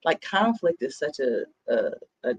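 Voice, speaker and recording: A man's voice: mid-range voice, very animated, a little slow, slightly rough, dull audio. A man in his thirties hosting a podcast, talking in a reflective, earnest way and often checking in with "you know what I mean?". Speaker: podcast host. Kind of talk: casual chat.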